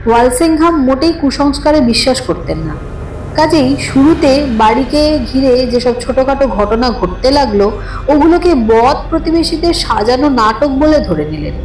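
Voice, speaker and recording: A woman narrating a scripted horror story with expressive, dramatic suspense. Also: sits high, normal speed. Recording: roomy echo, distant voice, background music. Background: music.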